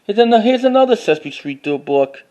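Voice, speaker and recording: High-pitched man casually showing his collection. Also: very animated, darkish tone, dull audio. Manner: halting, repeats and restarts phrases, reads titles aloud. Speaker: collector showing finds to camera. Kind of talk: casual unscripted show-and-tell narration.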